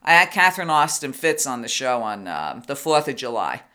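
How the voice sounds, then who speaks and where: A woman hosting a radio talk show, with a loud voice, telling stories with emphatic, quick asides. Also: very deep voice, very animated. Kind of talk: casual radio interview.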